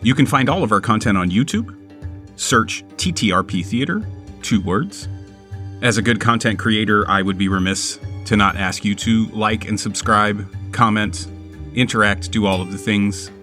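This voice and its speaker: A man's voice: lowish voice, normal speed, bright, forceful tone, faint music behind. A man talking casually as the host and a player on a tabletop gaming show. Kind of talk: casual show intro and game talk.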